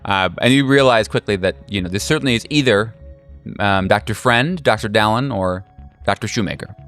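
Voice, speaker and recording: A man acting as game master, improvising descriptive horror narration in a halting, casual way. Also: fairly low pitch, clean tone. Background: soft music.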